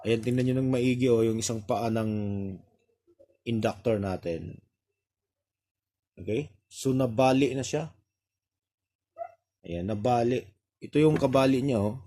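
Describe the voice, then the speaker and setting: Male repair tutorial presenter, Filipino accent, mid-pitched mellow voice, casual and halting hands-on explanations. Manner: halting, explains step by step with pointing asides. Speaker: audio repair technician and reviewer.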